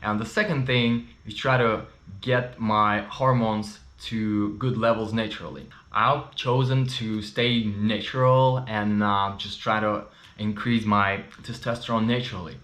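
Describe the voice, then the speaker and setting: Russian-Ukrainian-accented man, low voice. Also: steady tone, unhurried, few pauses, fairly bright tone. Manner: candid, chatty, frequent fillers. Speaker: vlogger. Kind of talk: casual personal story to camera. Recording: roomy echo.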